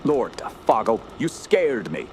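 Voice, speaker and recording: An actor playing an earnest, fearful gentleman camper who tells a horror tale in solemn, literary radio drama style. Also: mid-range voice, sing-song, measured pace, a little hiss or hum. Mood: concerned. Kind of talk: radio drama narration and dialogue.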